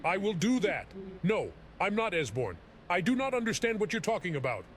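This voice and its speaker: A man's voice: high voice, sing-song, fairly quick, a bit bright, slight background noise. A man voicing a frantic, paranoid old man in hiding who rambles in fantasy game dialogue. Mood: paranoid, agitated. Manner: rambling, agitated.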